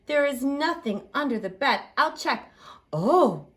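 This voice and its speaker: A woman leading a library storytime for children, singing along and reading picture books aloud in an expressive, exaggerated way. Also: high-pitched, measured pace. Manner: expressive, exaggerated delivery aimed at small children. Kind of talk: animated children's sing-along and picture-book read-aloud.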